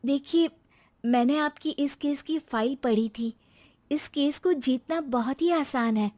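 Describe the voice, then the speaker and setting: A woman voicing an earnest, dutiful young daughter-in-law in a moral story, protesting politely with quiet resolve. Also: high voice, unhurried, voice pushed up. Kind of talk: acted moral-story dialogue.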